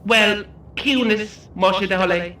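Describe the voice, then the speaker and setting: An actor playing a cheeky, theatrical Irish ghost-tour guide in an audio drama, announcing over a coach microphone with showy, insulting patter. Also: male voice, high voice, slow pace, bright, forceful tone, exaggerated voice. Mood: cheeky, bossy. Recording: echoey on-board PA, slightly distant, faint noise.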